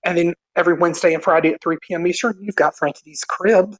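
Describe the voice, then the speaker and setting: A man co-hosting a podcast, politely reading out show plugs in an informal, halting way. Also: sits high, steady tone, dry recording, low-quality recording. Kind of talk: informal announcement of show schedules.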